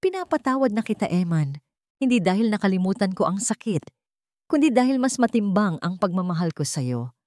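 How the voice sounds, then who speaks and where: Narrator as heartfelt, forgiving bride. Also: female voice, mid-range voice, sing-song, brisk, a little mellow, dry recording, clean studio sound, natural voice. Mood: tender, heartfelt. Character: tender, forgiving bride and mother.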